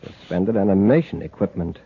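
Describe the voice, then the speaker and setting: An actor playing a calm, authoritative space patrol commander in 1950s radio adventure style, on a muffled old recording. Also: male voice, low voice, fairly quick, husky, full character voice. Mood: thoughtful. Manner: steady, authoritative.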